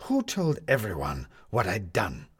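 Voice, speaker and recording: A man's voice: fairly low pitch, huge pitch swings, measured pace, a bit bright, slightly rough, heavily acted. A man voicing an indignant male schemer on the run in a storybook reading, with a blustering, theatrical, emphatic delivery. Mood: defiant.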